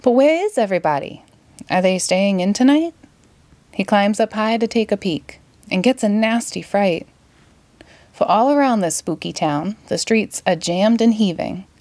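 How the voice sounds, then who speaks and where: A woman's voice: medium pitch, unhurried, no room sound. Woman reading a storybook, Boston accent. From Massachusetts, United States. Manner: expressive, playful storytelling in rhyme. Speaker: storybook reader. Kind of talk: children's storytime read-aloud.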